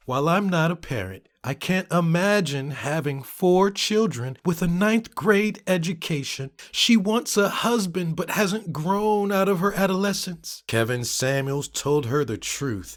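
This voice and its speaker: A man narrates in a dramatic reading style with a higher-pitched voice. His intonation swings widely, and his delivery is emphatic and theatrical, shifting from one remark to the next. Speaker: narrator reading social media comments.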